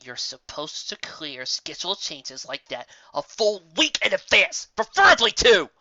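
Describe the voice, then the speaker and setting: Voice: medium pitch, wildly swooping pitch, unhurried, bright, forceful tone, no room sound, highs cut off, pitched above the performer's own voice, exaggerated voice. A narrator voicing a temperamental, exasperated female pegasus pony in a cartoon fan story, tense and barely holding in anger. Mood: restrained anger. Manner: tense, through clenched teeth or bellowing.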